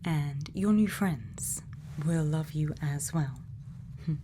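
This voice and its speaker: Female ASMR performer as a caring scientist: soft-spoken to whispered, unhurried and deliberate, close-miked with some background noise. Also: low-pitched, animated. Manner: slow, hushed, deliberate, with thoughtful pauses. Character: gentle, caring female scientist tending a robot. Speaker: ASMR creator.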